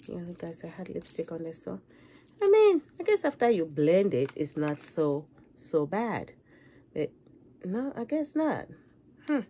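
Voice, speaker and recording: A woman's voice: lowish voice, big pitch swings, a little slow, warm tone, a little hiss or hum. A middle-aged woman from Cameroon with a Cameroonian accent, chatting to camera about makeup she bought, with laughing asides and repeated words, in a room lined with handbag shelves. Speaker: beauty vlogger. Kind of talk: casual chat to camera.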